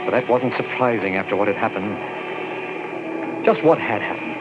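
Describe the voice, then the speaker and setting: A man's voice: on the low side, animated, normal speed, muffled recording, over loud music, natural voice. An actor playing a shaken young army lieutenant, telling his story in tense first-person narration on an old radio drama recording. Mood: uneasy.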